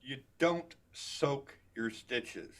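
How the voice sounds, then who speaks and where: A man giving casual, mocking commentary as a co-commentator, explaining things in a halting, dry way. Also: medium pitch. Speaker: co-commentator on a book-club stream.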